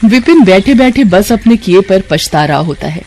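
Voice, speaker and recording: A woman's voice: mid-range voice, lively intonation, moderate pace, darkish tone. A woman narrating a scripted moral story as its storyteller. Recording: clear background noise.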